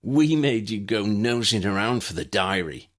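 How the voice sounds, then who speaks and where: A man's voice: lowish voice, lively intonation, normal speed, close to an everyday voice. A man voicing an angry, boastful wizard villain in an audiobook, proud and defiant with a thin, weak voice. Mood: gloating. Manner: proud, defiant, with a dry cough. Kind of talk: audiobook character voice.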